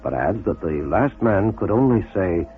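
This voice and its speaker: A man giving scripted documentary narration in a measured, dramatic way on a muffled old radio recording. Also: low-pitched, lively intonation. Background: music.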